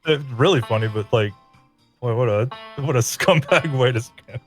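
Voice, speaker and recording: A man's voice: mid-range voice, moderate pace, faint music behind. A man co-hosting a live stream, joking around, laughing often and doing silly voices. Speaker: streamer co-host. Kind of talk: casual stream chat over gameplay.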